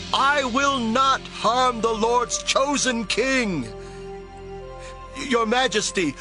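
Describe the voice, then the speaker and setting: An earnest young fugitive warrior calls out to his king in a dramatized Bible. The voice is very high and forceful, with slow, emphatic phrasing over faint music. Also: exaggerated voice. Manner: shouting from a distance, slow and emphatic. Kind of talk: dramatized audio Bible acting.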